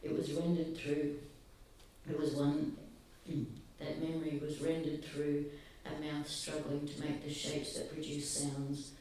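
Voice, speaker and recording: A soft-spoken man giving an earnest, halting public talk, often pausing. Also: fairly high pitch, completely flat delivery, a little hiss or hum. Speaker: speaker at a gathering. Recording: very echoey, distant, faint ambience.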